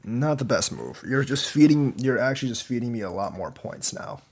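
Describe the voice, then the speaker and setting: A man's voice: mid-range voice, lively intonation, moderate pace, darkish tone. A man with a slight cold and a slightly congested voice, rambling as he commentates his own board game live and critiques the moves. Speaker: go teacher streamer.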